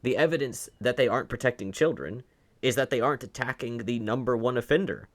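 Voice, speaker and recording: A man's voice: mid-range voice, quick pace. A man giving opinionated video commentary in an argumentative, sarcastic way, often posing rhetorical questions. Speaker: online commentator.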